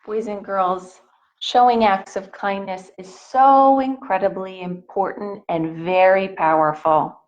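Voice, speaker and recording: A woman reading a picture book aloud expressively to children in a teacherly way, pausing to put questions to them. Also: medium pitch, steady tone, lo-fi sound. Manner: asks young listeners questions.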